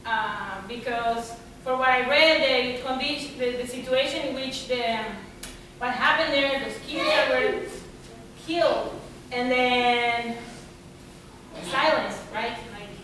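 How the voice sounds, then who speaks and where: Bright-voiced woman from Argentina, halting. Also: a little high, a little hiss or hum. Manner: halting, searching for words, many fillers. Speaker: artist at a symposium. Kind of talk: reflective Q&A answer. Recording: echoey lecture room from far off.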